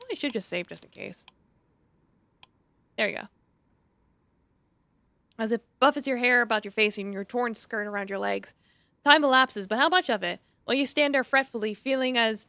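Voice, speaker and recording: A woman live streamer reading a story game aloud in an expressive, theatrical way, with casual asides. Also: on the high side, no echo. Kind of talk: dramatic read-aloud of visual novel with casual asides.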